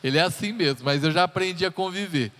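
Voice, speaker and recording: Pastor, man in his thirties, relaxed and joking from the pulpit; slightly high voice, live hall reverb. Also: quick pace. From Brazil. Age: 30s. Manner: chatty and joking, talks straight to the congregation. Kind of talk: sermon introduction, personal stories. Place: church hall.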